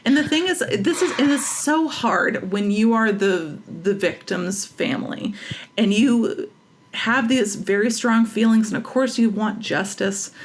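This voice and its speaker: American woman, frequent vocal fry, animated emphatic delivery, casual guest conversation, noticeable background noise. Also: mid-range voice, measured pace. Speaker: interview guest, playwright. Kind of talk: casual, opinionated creative talk.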